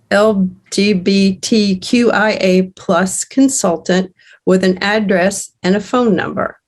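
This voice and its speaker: A woman reading screenplay stage directions as scripted narration. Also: mid-range voice.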